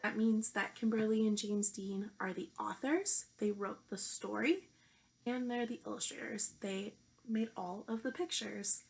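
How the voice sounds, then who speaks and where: A woman teacher reading a children's picture book aloud, speaking deliberately to young listeners. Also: medium pitch, gravelly, poor audio. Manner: slow, deliberate delivery addressed to young children. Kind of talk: children's storybook read-aloud.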